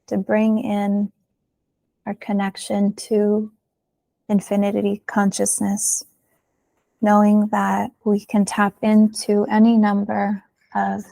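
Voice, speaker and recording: A woman leading a guided meditation in a soothing manner, pausing often, with soft phrase endings. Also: medium pitch, creaky, no room sound. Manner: slow, soothing delivery with long pauses.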